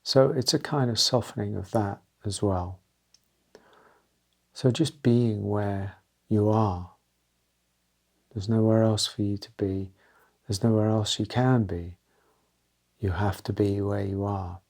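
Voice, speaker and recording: Slow, low, gravelly male meditation guide. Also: steady tone, darkish tone. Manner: halting short phrases with pauses. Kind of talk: guided meditation, unscripted gentle talk.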